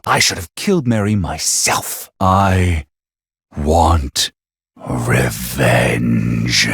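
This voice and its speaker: An actor playing a polite but scheming, secretly crazed video game villain, with formal, articulate, theatrical phrasing. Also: male voice, mid-range voice, creaky, a bit bright, husky, no echo, studio quality, full character voice. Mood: unhinged, vengeful.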